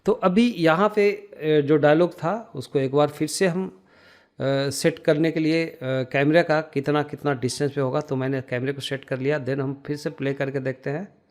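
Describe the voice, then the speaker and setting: A man with an Indian accent giving a casual software lesson, hesitant and thinking aloud as he works. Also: fairly high pitch, steady tone, moderate pace. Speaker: tutorial instructor.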